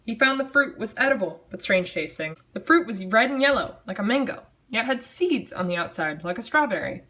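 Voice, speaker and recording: A woman's voice: on the high side, lively intonation, a little fast, sharp tone. A woman reading a story aloud in a theatrical, mock-dramatic style, with casual, self-mocking, sardonic asides. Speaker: amateur storyteller reading own childhood writing.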